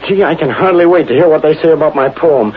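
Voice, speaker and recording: A dull, faintly hissy old radio recording holds a man acting a boyish young fellow with a high voice. He is naive and gushing, full of eager hope, in vintage drama style. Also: quick pace, close to an everyday voice. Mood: eager anticipation. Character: eager, naive young male aspiring songwriter. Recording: muffled, faintly noisy 1930s radio recording.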